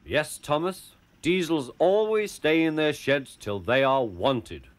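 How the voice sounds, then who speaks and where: A man voicing a stern, pompous male railway boss who speaks in a measured, formal way. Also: fairly high pitch, animated, voice pushed up. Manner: measured and formal, pauses for effect. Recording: music playing behind.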